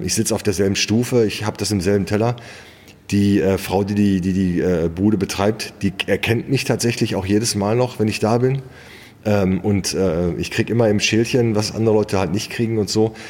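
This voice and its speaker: A middle-aged man with a Ruhr German accent tells stories in casual, colloquial conversation. His voice is husky and fairly low, and it rings with a long hall reverb. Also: a little mellow. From Ruhr area, western Germany. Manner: colloquial, anecdotal. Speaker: interview guest.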